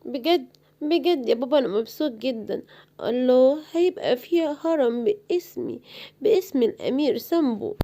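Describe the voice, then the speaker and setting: A woman's voice: high-pitched, animated, brisk, dark tone. A woman with an Egyptian accent voicing a young lion cub in a children's cartoon, childlike and exclamatory. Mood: cheerful. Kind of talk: animated children's story, acting all characters.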